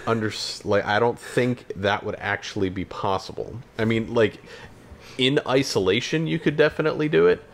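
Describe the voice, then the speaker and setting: A man's voice: lowish voice, normal speed. A man chatting casually as a podcast co-host about games and films, hedging and backtracking as he goes.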